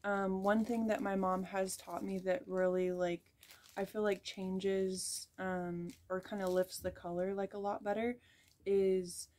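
A young woman talks straight to her camera from a small bedroom, chatting her way through a beauty tip with plenty of "like" and "um". Her voice sits at an ordinary middle pitch, picked up by the camera's own mic. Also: little pitch movement, unhurried, a little mellow. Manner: rambling, chatty, heavy use of "like" and "um". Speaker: beauty vlogger. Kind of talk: casual product tutorial.

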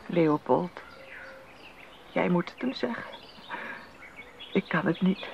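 An actress playing a sorrowful noblewoman in a Dutch radio drama, speaking gravely and haltingly on a muffled old recording. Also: lowish voice, animated, heavily acted. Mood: distressed, grieving. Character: sorrowful noble mama bearing grave news. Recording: muffled 1980s radio recording, faint noise.

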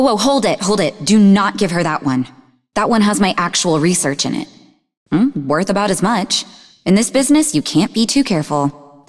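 Snarky, animated young game heroine. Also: female voice, lowish voice, long reverb tail. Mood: urgent, cautious. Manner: snarky, conversational, emotionally expressive. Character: sarcastic, defensive young woman, idealistic martial-arts student and researcher. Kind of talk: video game character dialogue.

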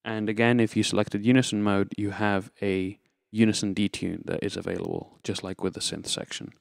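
A man's voice: fairly low pitch, level tone, fairly quick, gravelly, dry recording, clean studio sound. A man giving an informal, unscripted tutorial, explaining things step by step as a presenter. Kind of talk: informal instructional demonstration.

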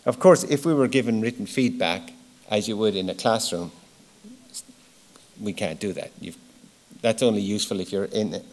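A middle-aged man giving an informal, explanatory keynote at a lectern, plain-spoken, stressing key words and letting phrase ends trail off. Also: on the low side. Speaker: keynote speaker. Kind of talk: conference presentation, informal and explanatory. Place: large wood-panelled auditorium, at a lectern. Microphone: lectern gooseneck microphone.

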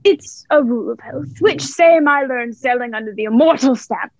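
A young woman playing a genial, flattering old one-legged sea cook in a radio play, with folksy, deferential sailor talk. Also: sits high, moderate pace, no room sound, low-quality recording. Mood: cheery, flattering.

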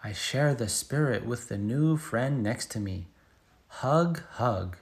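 Man voicing eager little schoolgirl, storybook read-aloud, slow pace, very lively pitch, emphatic sound words. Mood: playful, emphatic. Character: excited little schoolgirl telling her first day. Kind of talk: picture book read-aloud.

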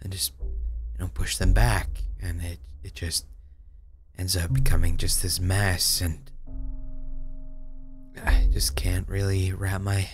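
Man acting a deep, halting, lonely dad. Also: level tone, soft background music. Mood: apologetic, downcast. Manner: halting, stammering, hesitant. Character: lonely, self-doubting father, subdued and regretful. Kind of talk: amateur audio drama acting.

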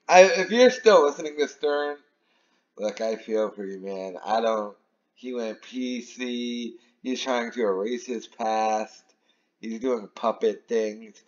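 A man giving casual opinion commentary in a baffled, rambling way, repeating phrases for emphasis. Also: mid-range voice. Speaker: online commentator. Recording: dull, lo-fi sound.

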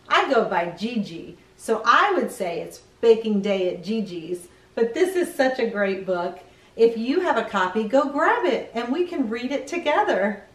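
A middle-aged woman hosting a children's read-aloud, expressive, reading picture-book lines and chatting to young viewers with questions. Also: mid-range voice. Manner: expressive, addressing small children with questions and exclamations. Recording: roomy echo, distant microphone.

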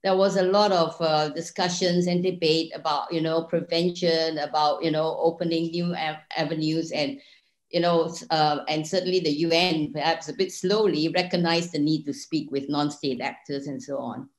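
A woman's voice: low-pitched, steady tone, moderate pace. A woman speaking emphatically as a panelist and former operations head on a video call. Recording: echoey room on video call.

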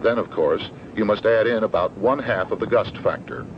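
An American man giving measured, matter-of-fact, formal instructional narration in the manner of a 1970s training film. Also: lowish voice. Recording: dull, noisy old 16mm film soundtrack.

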